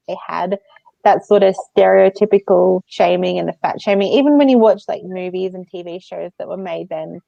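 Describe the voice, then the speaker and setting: Creaky voiced woman cohost, giggly casual book talk, dry slightly muffled mic sound. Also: mid-range voice, mellow, no echo. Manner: giggly, conversational, informal. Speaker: book podcast co-host. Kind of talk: casual book discussion chat.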